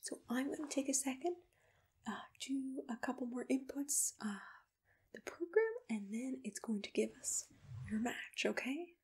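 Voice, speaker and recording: Soft whispery asmr lady doing matchmaker roleplay, takes it slow, a bit high voiced, gentle, right up on the mic. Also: animated, husky. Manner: gentle, reassuring, patient questioning. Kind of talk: ASMR personal-attention roleplay, unscripted interview questions. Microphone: close-up microphone.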